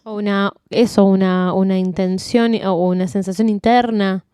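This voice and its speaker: Smooth-voiced woman, emphatic. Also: mid-range voice, moderate pace, dry recording, clean studio sound. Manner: animated, emphatic, candid. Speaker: podcast co-host, singing teacher. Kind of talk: casual chat.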